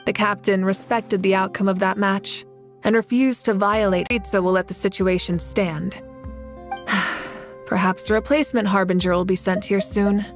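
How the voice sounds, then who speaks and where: Actress as a composed, noble warrior leader. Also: female voice, medium pitch, fairly even intonation, natural voice. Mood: serious, resolute. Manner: measured, formal, assured. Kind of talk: video game character dialogue. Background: background music.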